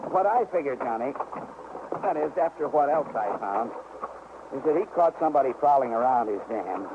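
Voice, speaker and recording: Muffled noisy old radio, man acting plainspoken country fellow, earnest, suspicious, insistent, medium pitch. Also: animated, normal speed, natural voice. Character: earnest, suspicious rural male friend of the detective. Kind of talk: 1950s radio drama acting.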